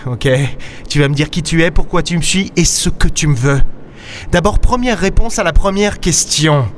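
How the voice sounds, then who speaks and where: An actor playing a cynical, impulsive space captain in a sci-fi audio drama, with a sardonic, gruff, dismissive way of talking. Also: male voice, fairly high pitch, normal speed, slight background noise, close to an everyday voice. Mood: suspicious, irritated. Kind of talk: sci-fi audio drama, noir-like first-person narration and dialogue. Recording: music under the voice.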